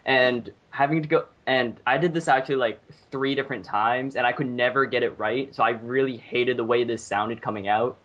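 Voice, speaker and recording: A man's voice: medium pitch, fairly quick, hardly any pauses, fairly bright tone, dull audio. Casual man with a mid-range voice. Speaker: amateur voice actor. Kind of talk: casual episode commentary.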